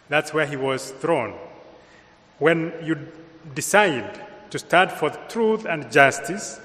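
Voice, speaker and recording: A man with a Kenyan accent giving a homily in a slow, measured way with pauses. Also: a little high, a bit bright. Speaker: priest. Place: large church with long reverb. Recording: reverberant church hall sound.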